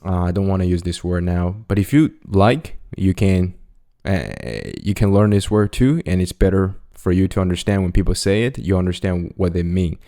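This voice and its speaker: A young man whose first language is Mandarin Chinese talks through a language lesson in a casual, unhurried way. His voice is low and soft-edged. He says each example phrase twice and laughs lightly here and there. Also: steady tone. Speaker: language teacher.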